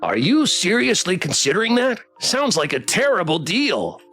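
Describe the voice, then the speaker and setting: Man doing a high-pitched, snippy plushie pet. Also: huge pitch swings, normal speed, bright, forceful tone, soft background music, heavily acted. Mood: skeptical, haughty. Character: snippy, condescending talking plushie toy pet with big eyes. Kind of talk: visual novel character read aloud.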